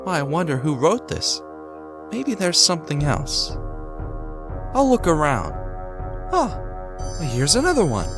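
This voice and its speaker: Actor playing an animated, devoted young husband. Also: male voice, on the high side, takes it slowly, long pauses, over music, full character voice. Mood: curious, excited. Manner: reassuring, theatrical, thinks aloud to himself. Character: devoted young husband and father, gentle, curious, upbeat. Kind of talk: hobby audio drama acting.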